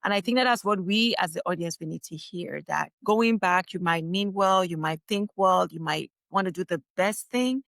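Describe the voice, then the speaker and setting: A woman's voice: fairly low pitch, normal speed, vocal fry, hardly any pauses, fairly bright tone, dry recording, studio quality. A woman from Cameroon with a Cameroonian accent, hosting a podcast interview and asking long, enthusiastic questions. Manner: enthusiastic, repeats praise, asks long rambling questions. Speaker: podcast host. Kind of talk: interview conversation.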